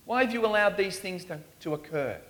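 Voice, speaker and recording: Man, preacher, sermon, earnest, pleading questions, slightly high-pitched voice. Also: animated, brisk, fairly bright tone. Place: church in Australia.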